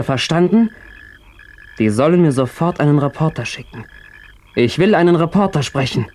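A man's voice: medium pitch, mellow, lightly acted. An actor playing a menacing young escaped convict in a German radio play, curt and commanding with his hostage.

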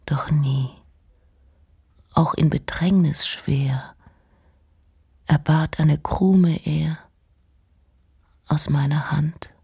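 A woman gives a hushed, measured literary recitation in a husky, breathy voice that often nears a whisper. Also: deep voice. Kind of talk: slow literary recitation of poems and letters.

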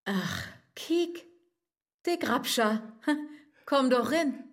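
Coy, high-voiced Low German young woman. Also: lively intonation, very slow, heavily acted. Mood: amused, coy. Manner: musing, coy, murmuring asides. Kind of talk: rhymed radio play dialogue.

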